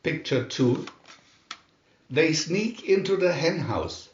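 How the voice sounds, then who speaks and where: A man narrating a scripted children's storybook in a deliberate, expressive storytelling manner. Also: on the high side, reverberant, dull audio, a little hiss or hum.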